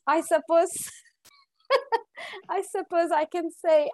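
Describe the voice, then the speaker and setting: A woman from Kenya being interviewed, answering in a friendly, halting way and giggling often. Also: very high-pitched, no room sound. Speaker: podcast guest. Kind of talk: casual interview chat.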